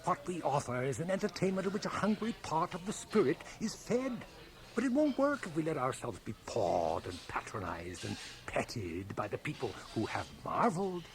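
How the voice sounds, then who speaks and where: An actor playing a proud, mysterious stage magician in a declamatory, grandiose radio drama manner. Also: male voice, a little high, sing-song, normal speed. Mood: lofty disdain. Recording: hissy 1980 radio cassette transfer.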